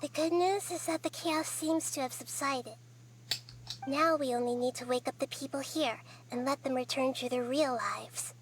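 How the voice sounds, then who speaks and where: A woman voicing a wise, kind small girl goddess in a video game, explaining gently. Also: high-pitched, moderate pace, slight background noise, lightly acted. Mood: calm.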